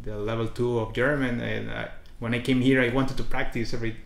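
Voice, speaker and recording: A man's voice: medium pitch, brisk, gravelly. A young man from Honduras with a Honduran Spanish accent, a native Spanish speaker, answering in a casual interview with fillers and restarts. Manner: animated storyteller with frequent restarts and fillers. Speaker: international student guest. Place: small colorful lounge indoors. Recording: echoey room.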